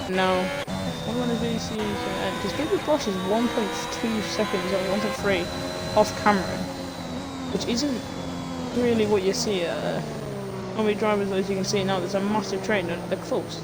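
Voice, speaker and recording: Race commentator over loud engine noise. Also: medium pitch, normal speed. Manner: excitable, stumbling over names. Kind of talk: live esports racing commentary.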